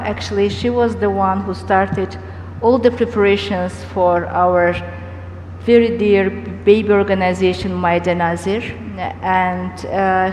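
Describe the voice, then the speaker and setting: Slow-spoken woman moderator in a hall. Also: mid-range voice. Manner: slow and deliberate, with hesitant fillers. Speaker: panel moderator. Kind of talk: panel discussion. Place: large conference hall. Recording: echoey hall, faint background noise.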